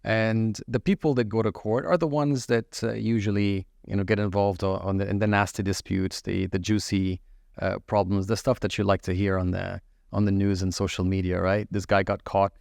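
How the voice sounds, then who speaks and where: A middle-aged man who grew up in the UAE, a lawyer answering interview questions in a measured way, often pausing with fillers and restarting phrases. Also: on the low side, steady tone, gravelly, darkish tone, no room sound, clean studio sound.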